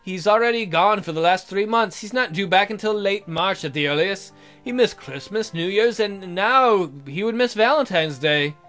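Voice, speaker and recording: A man's voice: high voice, expressive, moderate pace, a bit bright. A man reading a visual novel aloud as a let's player, in a theatrical, jokey way with asides. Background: music.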